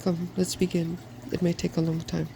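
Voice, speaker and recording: A soft-spoken storybook reader voicing a frank, earnest and weary dragon. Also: mid-range voice, monotone, normal speed, vocal fry, slight background noise, lightly acted.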